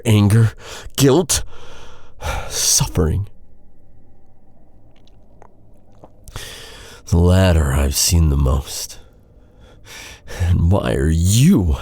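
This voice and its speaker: Guy doing a vengeful dark fantasy knight, low voice that swings a lot, brooding roleplay, close dry sound. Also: no echo, exaggerated voice. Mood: anguished, accusing. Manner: brooding, intense, halting dramatic delivery. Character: vengeful, embittered male knight interrogating his captive enemy. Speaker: voice actor in an audio roleplay.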